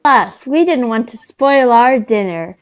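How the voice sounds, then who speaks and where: A woman's voice: a little high, lively intonation, measured pace, clear, resonant tone. A woman playing an earnest, probing storybook character in a readers theater reading, speaking plainly.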